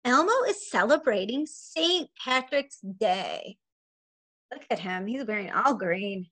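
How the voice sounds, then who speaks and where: High, lively-voiced woman reading to preschoolers. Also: takes it slowly. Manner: sing-song, expressive, addressing small children. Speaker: preschool teacher and story time host. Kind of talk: reading a picture book aloud to young children. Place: small indoor room at home.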